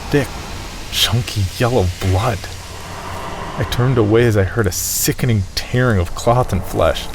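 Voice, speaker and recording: A man's voice: fairly low pitch, background noise. A man reading a scripted first-person horror story as its narrator.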